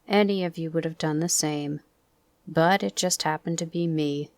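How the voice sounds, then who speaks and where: A woman reading a storybook, voicing a gloomy, self-pitying old male donkey in a slow, mournful, pompous way. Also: low voice, steady tone, darkish tone, no echo.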